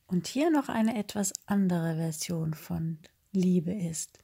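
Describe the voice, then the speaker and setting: A woman's voice: lowish voice, animated, slow pace, gravelly, mellow, breathy edge. A woman of forty giving a short personal statement.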